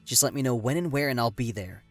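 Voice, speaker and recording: A man's voice: mid-range voice, fast talker, no room sound, faint music behind, lightly acted. An actor playing an easygoing, supportive young male god who talks in a reassuring, laid-back way.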